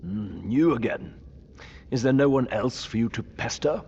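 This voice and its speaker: Game casting: male actor as prickly pedantic maester, animated, haughty, dull sound with faint noise. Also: medium pitch, moderate pace, lightly acted. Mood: haughty, defensive. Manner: haughty, irritable, defensive. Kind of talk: video game cutscene acting.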